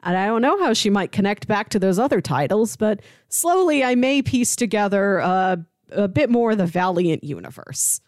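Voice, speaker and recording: A woman's voice: mid-range voice, big pitch swings, normal speed, hardly any pauses, darkish tone, dry recording, clean studio sound. A woman co-hosting a podcast, talking casually and reading out short blurbs. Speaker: podcast co-host.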